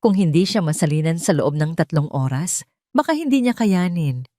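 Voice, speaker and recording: A woman's voice: lowish voice, expressive, normal speed, mellow, dry recording, clean studio sound. A woman with a Filipino accent voicing a serious hospital doctor in an audio drama, grave and insistent. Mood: grave urgency. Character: serious, urgent hospital doctor, male or female. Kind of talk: audio drama character acting.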